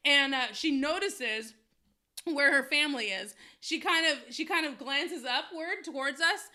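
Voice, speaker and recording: Woman, 30s, podcast co-host, casual chat, storytelling, laughing, high pitch, bright tone. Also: unhurried.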